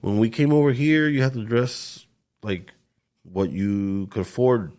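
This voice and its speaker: A man's voice: on the low side, expressive, measured pace, a little mellow. Blunt matter-of-fact man. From Puerto Rico. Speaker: podcast co-host. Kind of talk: casual chat.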